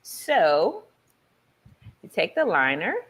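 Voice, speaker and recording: A woman's voice: fairly low pitch, wildly swooping pitch, slow, fairly bright tone, slightly rough. A woman patiently demonstrating magnetic lashes and liner step by step on camera. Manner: patient, instructive. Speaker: beauty supply owner demonstrating lashes. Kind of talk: product demonstration.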